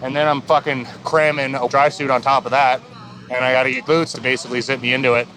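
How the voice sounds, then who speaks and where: A man's voice: mid-range voice, little pitch movement, quick pace, pushed and bright. A man, talking casually and bluntly as a self-deprecating commercial diver outdoors. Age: 20s. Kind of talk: casual reality show interview. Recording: noisy outdoor location with engines.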